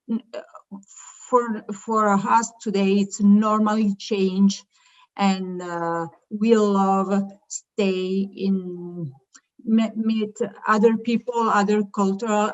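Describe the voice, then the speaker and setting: A middle-aged woman gives an informal business presentation in English, haltingly searching for words. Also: mid-range voice, highs cut off. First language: not English. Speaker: childcare founder presenting her business.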